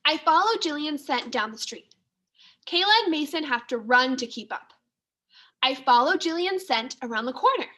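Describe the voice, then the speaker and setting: A woman's voice: sits high, lively intonation, moderate pace, sharp tone. A woman teacher reading a children's story aloud, expressively and with careful enunciation.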